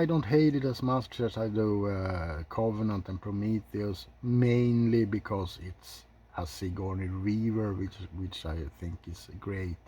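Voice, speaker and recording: A man talks through an informal ASMR ranking with a husky, soft voice. He takes his time, pausing and restarting as he forms opinions. His tone is dark and relaxed, yet his verdicts are blunt and sprinkled with swearing. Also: fairly low pitch. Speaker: video host reviewing films. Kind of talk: ASMR film ranking, unscripted commentary.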